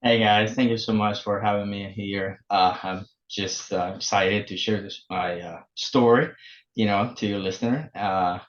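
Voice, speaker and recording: Guest: forties man, lower voice, halting delivery, muffled lo-fi line. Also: fairly even intonation. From El Salvador. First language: Spanish. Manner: halting, many fillers and restarts. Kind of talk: casual interview. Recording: muffled lo-fi remote audio.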